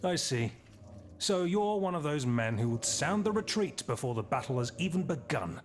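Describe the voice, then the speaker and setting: A haughty patriot nobleman in a game cutscene. His highish, very animated voice is theatrical and scornful. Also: moderate pace. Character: haughty, hot-headed male marquis, a bellicose patriot. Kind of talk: video game cutscene acting.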